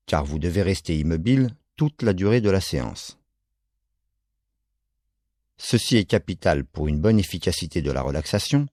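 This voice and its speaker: A man guiding a yoga relaxation session, giving calm, measured instructions in short phrases with pauses between them. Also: sits low, dry recording, clean studio sound. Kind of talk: guided relaxation, slow spoken instructions.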